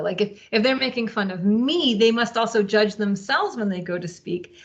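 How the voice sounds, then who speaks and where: Bright chatty woman with a French accent. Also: fairly high pitch. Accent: French-speaking. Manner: animated, quick, chatty with many likes. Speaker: teacher and webinar participant. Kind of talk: casual discussion. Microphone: video call. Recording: muffled lo-fi video call audio.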